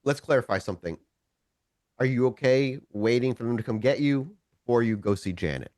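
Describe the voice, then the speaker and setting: A man playing a nervous, well-meaning ordinary human in a tabletop game, talking casually in a halting, stammering way. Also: medium pitch, darkish tone, no room sound, studio quality, close to an everyday voice. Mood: uneasy, coaxing.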